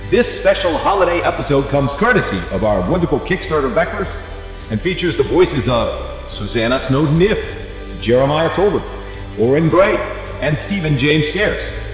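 A man reading scripted announcements in a smooth, measured way, his pitch lively and fairly high. The recording is drenched in a long, roomy echo, with faint music underneath. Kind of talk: scripted announcer read and credits.

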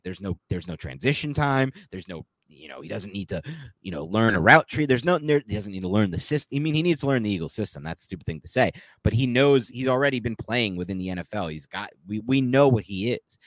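The low-pitched guy on a sports podcast in a dead-quiet booth, arguing his take and amending it as he rambles along. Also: dry recording. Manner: rambling, self-correcting, opinionated. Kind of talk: casual sports analysis.

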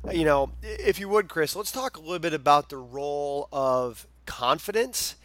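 A man's voice: a little high, expressive, normal speed, fairly bright tone. A man hosting a podcast, enthusiastic and emphatic as he reacts to his guest and asks questions. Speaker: podcast host. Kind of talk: casual interview talk.

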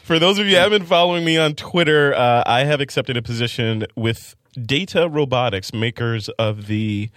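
A man hosting a podcast, relaxed and quick to laugh. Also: mid-range voice, no room sound, clean studio sound. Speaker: podcast host. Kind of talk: casual podcast banter.

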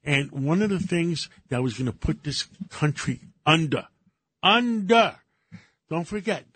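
An older Greek-born man, a radio co-host, who talks casually in halting bursts with many fillers and restarts. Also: a little high, animated, fairly bright tone, husky. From Greece.

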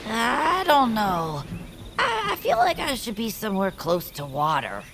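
A woman's voice: a little high, huge pitch swings, a little slow, a bit bright, slight background noise, heavily acted. A woman voicing a young, mopey woodland creature in a family audio drama, with a dry, skeptical, hesitant manner. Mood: wry, doubtful. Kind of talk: family audio fiction character acting.

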